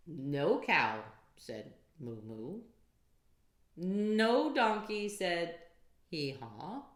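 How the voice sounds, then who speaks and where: A woman reading a children's story aloud in an expressive, deliberate way. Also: mid-range voice. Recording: roomy, echoey sound.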